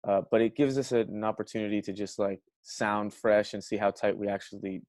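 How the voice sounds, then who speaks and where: A man's voice: lowish voice, level tone, fairly quick, a little mellow, no room sound. A young man from Venezuela, raised in Miami, chatting loosely as a band member in an interview, with many fillers. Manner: laid-back, rambling with many fillers. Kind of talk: casual video call interview.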